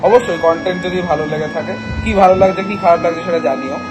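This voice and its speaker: A man's voice: fairly high pitch, racing, over music. A young man, chatting informally to viewers and mixing in English words. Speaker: cameraman and editor of a family vlog. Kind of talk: casual chat.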